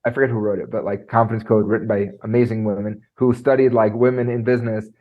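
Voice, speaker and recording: Man, presentation coach, animated podcast talk, trained singer, slightly dull recording. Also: quick pace. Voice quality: trained high singing voice. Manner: animated, lots of fillers like "like" and "right?". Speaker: content and presentation skills coach. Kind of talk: conversational podcast interview.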